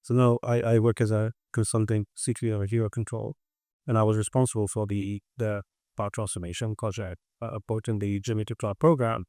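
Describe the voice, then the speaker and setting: A man's voice: fairly low pitch, level tone, a little fast, a little mellow, no room sound, studio quality. A man discussing his industry as a tech consultant, emphatic and often repeating words mid-sentence.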